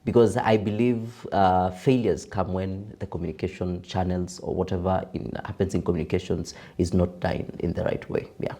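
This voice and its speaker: A young Kenyan man with a deep voice, a broadcaster and voiceover artist chatting as a podcast guest, laughing easily and mixing in Swahili. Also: flat delivery, moderate pace, hardly any pauses, dark tone. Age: young adult. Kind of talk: casual interview chat.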